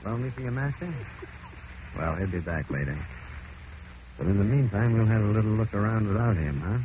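Actor as low-voiced undercover federal agent. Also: male voice, expressive, fairly quick, close to an everyday voice. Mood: casual, probing. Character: polite, smooth undercover male FBI agent, quietly probing. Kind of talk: 1940s radio acting. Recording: muffled, noisy 1940s radio recording.

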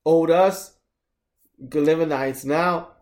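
A man in his thirties talks loosely and reflectively on a podcast, cursing casually as he tells stories. His voice sits a little higher than most men's. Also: slow, darkish tone. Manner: laid-back, swearing, reflective storytelling. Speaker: podcast co-host. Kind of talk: casual chat.

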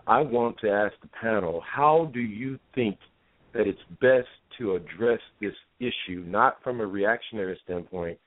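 A man with a very low voice calls in to a discussion show. He speaks formally and with care, pausing over his phrases. The phone line is narrow and muffled. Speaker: caller, university professor. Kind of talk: earnest live call-in discussion. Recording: dull narrow-band phone call.

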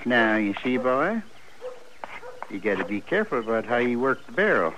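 A man's voice: mid-range voice, big pitch swings, normal speed, close to an everyday voice. An actor playing a talkative, nostalgic old Western man who rambles in folksy frontier speech in a radio drama. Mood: fond, reminiscing. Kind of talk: 1950s radio western acting. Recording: noisy old radio recording.

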